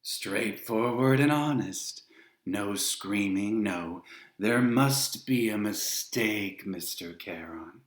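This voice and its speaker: A man voicing a suave, cold male ferryman of the dead who speaks slowly and condescendingly with a foreign lilt. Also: lowish voice, echoey room. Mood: dry.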